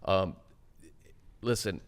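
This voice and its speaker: A man hosting a football talk show, discussing and posing questions in a conversational way. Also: extremely slow. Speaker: sports talk show host.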